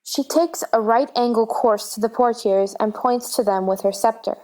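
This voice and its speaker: A woman's voice: on the high side, normal speed, a little mellow, poor audio. A woman narrator reading stage directions in scripted narration for a play.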